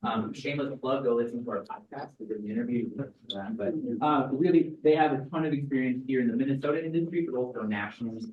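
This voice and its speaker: A man's voice: mid-range voice, fast talker, phone-quality sound. Host man, roomy and distant. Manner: upbeat, rambling introduction. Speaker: event organizer and host. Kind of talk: introducing speakers at an event. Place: event venue. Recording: roomy, distant mic in a venue.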